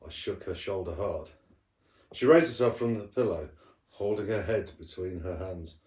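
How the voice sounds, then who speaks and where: Male storybook reader, lowish pitch, expressive teacher delivery, roomy distant sound. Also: moderate pace. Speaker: primary school teacher reading to pupils. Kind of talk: storybook read-aloud. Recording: roomy echo, distant from the mic.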